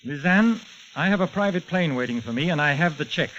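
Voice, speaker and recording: An actor playing a smooth, condescending murderous villain in a 1940s radio thriller. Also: male voice, fairly high pitch, animated, a little fast, fairly bright tone. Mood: coldly menacing. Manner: suave, mocking, condescending. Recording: muffled, hissy 1940s radio recording.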